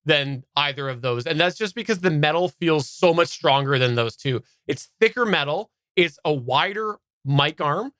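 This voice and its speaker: A man's voice: on the high side, expressive, normal speed, hardly any pauses, fairly bright tone, no echo. A man from Canada giving a casual, unscripted product review as a podcast host, explaining in detail and stressing key words.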